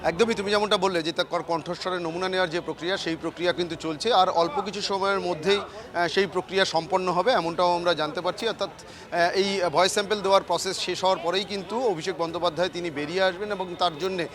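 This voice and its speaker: Male live news correspondent, outdoor city setting, high pitch, rapid wordy delivery. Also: steady tone, music in the background. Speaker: field news reporter. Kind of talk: live breaking news report. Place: outdoors at a city courthouse compound.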